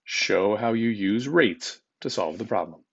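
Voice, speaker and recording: A man's voice: on the low side, expressive, measured pace, vocal fry, fairly bright tone, dull audio. A man speaking as a teacher, reading test questions aloud to students in an informal, instructive manner and repeating them.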